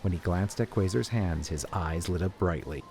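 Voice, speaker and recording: A man's voice: deep voice, normal speed, darkish tone, slight background noise. A man reading scripted story narration as a narrator, with music behind him.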